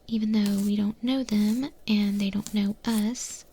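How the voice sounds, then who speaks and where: A woman voicing a friendly, encouraging eldritch monster chef in a breathy, gentle ASMR roleplay whisper. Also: mid-range voice, fairly even intonation, a little slow. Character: friendly female eldritch monster chef training a new hire. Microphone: close ASMR microphone.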